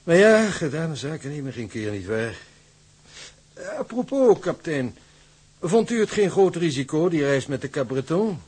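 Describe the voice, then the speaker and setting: A man acting in a Dutch radio drama as a polite, smooth-talking but unyielding shipping office manager. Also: on the high side, sing-song, normal speed, darkish tone. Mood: unctuous, courteous. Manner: formal, unctuous courtesy with swooping emphasis. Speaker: actor.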